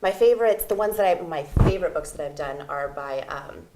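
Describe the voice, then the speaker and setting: A woman's voice: low voice, animated, brisk, heavy background noise. A young woman chatting as an interview guest, talkative and giggly, with many fillers. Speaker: interview guest, audiobook narrator. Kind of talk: casual interview chat.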